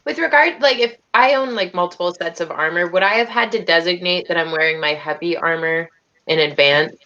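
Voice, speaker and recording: A woman's voice: on the low side, brisk, pushed and bright. A woman playing a tabletop game, chatting casually over slightly muffled online call audio.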